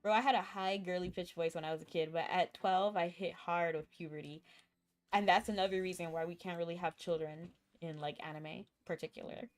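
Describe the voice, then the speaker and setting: A woman streaming a video game, chatting casually and thinking out loud as she plays, with a chuckle here and there. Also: lowish voice, steady tone, fairly quick. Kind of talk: casual live-stream chat while gaming. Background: faint game music.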